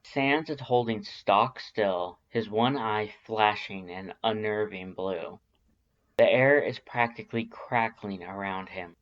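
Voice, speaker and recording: A man's voice: lowish voice, slow, a bit bright, lo-fi sound. A man narrating scripted fiction in a dramatic storytelling style.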